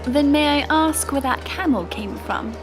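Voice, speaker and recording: Woman voicing a young storybook lass, high voice, wary n coy, kinda wistful, music in the background. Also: animated, measured pace. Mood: wary, challenging. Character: fair young pirate-tale lass, guarded, wistful, teasing. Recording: music behind the voice.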